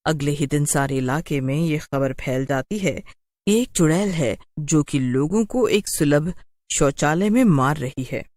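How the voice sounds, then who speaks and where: A woman's voice: low-pitched, expressive, measured pace, darkish tone, dry recording, clean studio sound. A woman narrating a scripted cartoon story in a dramatic storytelling style.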